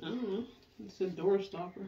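A woman in her thirties talks casually, like a mom at home, with a blunt and teasing manner. Her medium-pitched voice sounds a little muffled and comes from away from the mic in an echoey room. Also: moderate pace, warm tone. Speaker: mother and family vlogger styling her daughter's hair. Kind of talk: casual chat. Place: small room at home, indoors. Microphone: camera or phone a short distance away. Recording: roomy, muffled, distant, faint noise.

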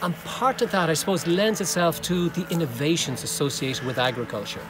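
Man, 40s, professor, brisk outdoor explainer, close phone mic, music bed behind. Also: a little high. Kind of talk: outdoor talk to prospective students. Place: open pasture outdoors with cows around. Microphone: phone or camera held close at selfie range.

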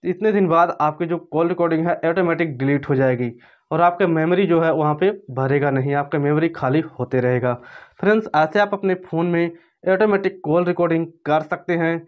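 A man's voice: on the high side, fairly quick. A man narrating an informal step-by-step tutorial in repetitive, instructional phrasing. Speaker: phone tutorial presenter. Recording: dull, lo-fi voice-over.

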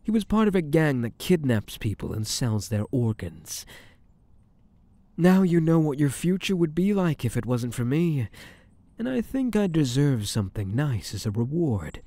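A man's voice: fairly high pitch, sing-song, normal speed, warm tone, dry recording. A man playing a smug, possessive mafia boss in an intimate roleplay, flirtatious and menacing, with a teasing, commanding manner. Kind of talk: intimate ASMR roleplay acting.

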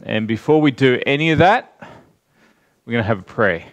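A man in his forties with an Australian accent, preaching a sermon in a large church hall, chatting with the congregation and stressing key words slowly. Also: medium pitch, expressive. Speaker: pastor.

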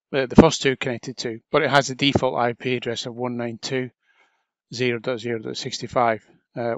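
A man narrating a software tutorial step by step in an informal, chatty, explanatory way. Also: medium pitch, no room sound. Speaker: trainer.

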